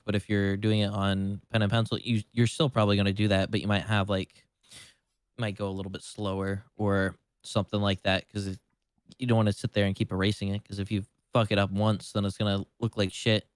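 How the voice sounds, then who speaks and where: A man's voice: lowish voice, flat delivery, brisk, no room sound. A man in casual livestream chat as co-host, rambling with lots of "like" and casual swearing. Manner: rambling, heavy use of "like"; casually foul-mouthed.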